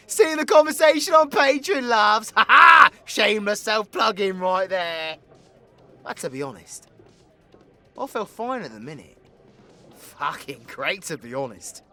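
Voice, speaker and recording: A man's voice: medium pitch, a bit bright. A British man voicing a cocky, foul-mouthed supervillain in an audio roleplay, with a crude, theatrical and menacing manner. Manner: swaggering, crude, theatrical, dropping into menacing whispers. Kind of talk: ASMR audio roleplay acting.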